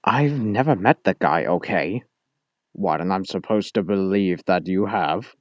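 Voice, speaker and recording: An actor voicing a sardonic, theatrical King of Chaos in an animated fan drama. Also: low voice, unhurried, no echo, lo-fi sound. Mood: dismissive. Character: sardonic male King of Chaos, blunt husband.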